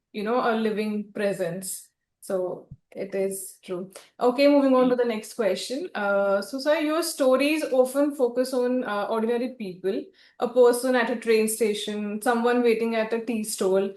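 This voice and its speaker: A woman hosting an author interview, speaking politely and deferentially with partly scripted introductions and questions. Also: on the high side. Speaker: interviewer and host for a publisher. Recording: roomy echo.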